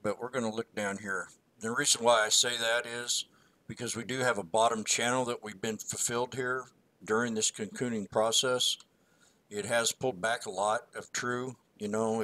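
A man's voice: fairly low pitch, level tone, normal speed, sharp tone. A man co-hosting a stock market review, walking through chart price levels in a rambling, folksy way. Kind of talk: casual chart analysis voice-over.

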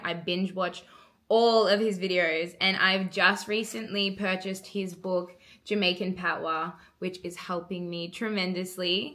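A woman's voice: on the low side, bright, forceful tone. A young Australian woman talking casually to camera as a vlogger, bubbly and earnest, laughing often. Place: bright living room indoors.

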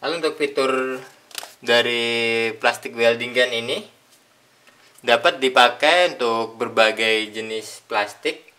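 A young man calmly explaining a tool step by step in an unboxing demonstration. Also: medium pitch. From Indonesia. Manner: plain step-by-step explaining with pauses. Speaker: product reviewer. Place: indoors at a tabletop.

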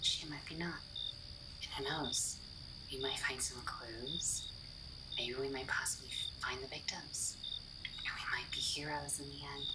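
A woman's voice: very deep voice, fairly even intonation, a little slow, recorded from a distance, very noisy. A woman voicing a playful, daring young explorer in a whispered, teasing ASMR roleplay. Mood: playful, coaxing.

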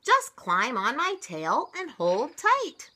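A woman's voice: sits high, huge pitch swings, slow, bright, forceful tone, pitched above the performer's own voice, heavily acted. A woman voicing a kindly, encouraging beaver in a reassuring storybook manner.

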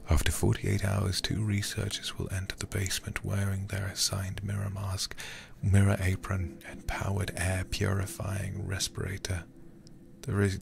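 A man softly whispering close to the microphone in an ASMR game stream, reading text deliberately and murmuring intimate asides to his viewers. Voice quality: breathy whispering, low and gravelly. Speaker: livestreamer playing a horror game.

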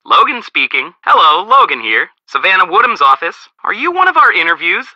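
An actor playing a busy, brisk office assistant answering calls through a telephone filter in a video game. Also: male voice, sits high, very animated, dry recording. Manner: clipped, businesslike. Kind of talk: video game phone dialogue. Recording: muffled, band-limited phone line.

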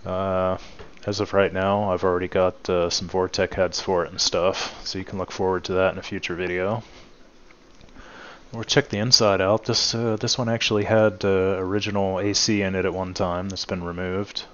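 Deep flat-voiced man in a garage. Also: normal speed, muffled recording, slight background noise. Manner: relaxed, many "uh" fillers, addresses viewers as guys. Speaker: channel host showing his truck restoration. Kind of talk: casual walkaround narration. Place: home garage.

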